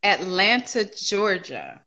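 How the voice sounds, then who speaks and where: A woman hosts a casual podcast interview, asking upbeat and encouraging questions. Her tone is bright and forward at a middle pitch. The recording sounds dull and lo-fi. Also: wildly swooping pitch, takes it slowly, a little hiss or hum.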